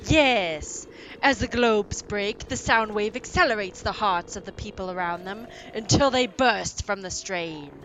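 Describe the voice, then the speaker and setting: A woman performs a gloating pulp supervillainess, theatrical and grandiose. Her sharp, forceful voice takes its time over each mocking phrase, with background effects noise. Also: medium pitch, unhurried, lightly acted, rough recording. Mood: smug, mocking. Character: gloating female pulp supervillain bent on universal conquest. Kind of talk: pulp audio drama acting.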